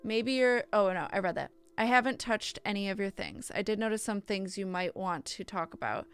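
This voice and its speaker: A woman's voice: mid-range voice, animated, normal speed, smooth, soft background music. A woman streaming a visual novel, reading the text aloud with casual asides and often stumbling and correcting herself.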